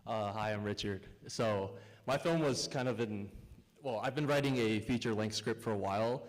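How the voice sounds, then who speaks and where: A man's voice: on the low side, normal speed. A man from Thailand, a filmmaker, speaking casually and rambling a little at a festival Q&A on a theater stage. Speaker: filmmaker guest. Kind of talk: answering questions at a festival Q&A. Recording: reverberant hall sound.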